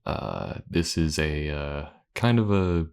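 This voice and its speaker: A man with a deep, gravelly voice speaking casually to his listeners as channel host. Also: big pitch swings, slow pace, darkish tone.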